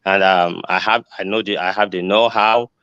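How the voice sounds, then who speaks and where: Older man, hesitant, low voice. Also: steady tone, moderate pace, a bit bright, smooth, no room sound. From Nigeria. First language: Yoruba. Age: 60s. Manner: hesitant, many ums and restarts. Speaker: judicial candidate. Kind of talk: answering forum questions. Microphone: video call. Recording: dull lo-fi video call audio.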